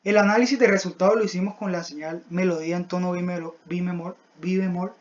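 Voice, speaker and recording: A young adult student giving a scripted technical presentation in a halting, didactic, step-by-step manner. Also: mid-range voice, steady tone, darkish tone. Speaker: student presenting a class project. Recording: dull, lo-fi voice recording.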